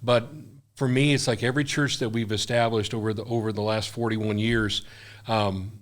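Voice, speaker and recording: Older missionary guy, low voice, earnest, keeps restarting sentences. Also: fairly even intonation, normal speed. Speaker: missionary guest. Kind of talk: casual conversation.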